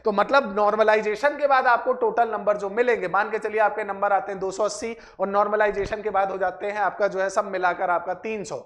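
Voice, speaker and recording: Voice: medium pitch, steady tone, brisk, few pauses, a bit bright. A teacher explains things to students, repeating points and checking in with short tag questions. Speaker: exam-prep teacher and channel host.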